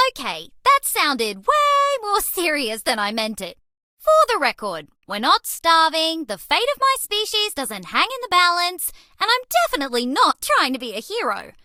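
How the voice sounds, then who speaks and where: Girlish alien mouse, video game character, coy, playful, dramatic, very animated, slow-paced. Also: very high-pitched, no room sound, clean studio sound, heavily acted. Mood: earnest. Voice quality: cutesy, girlish.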